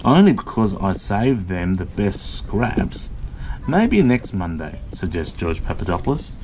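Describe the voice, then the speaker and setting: A man reading a picture book aloud to small children, adding simple, gentle asides as he goes. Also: deep voice, very animated, slight background noise, rough recording. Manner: pauses for gentle, simple commentary aimed at children.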